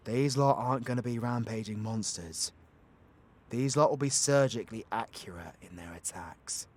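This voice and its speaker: A man's voice: lowish voice, normal speed, darkish tone, lightly acted. A British man playing a cocky, foul-mouthed but protective supervillain in an audio roleplay, with brash, teasing, slangy delivery. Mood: intimate, protective. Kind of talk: audio roleplay acting addressed to the listener.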